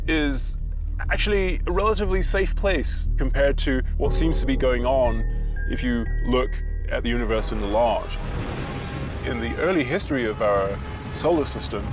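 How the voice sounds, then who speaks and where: A man speaking as an expert interviewee, explaining things. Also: mid-range voice.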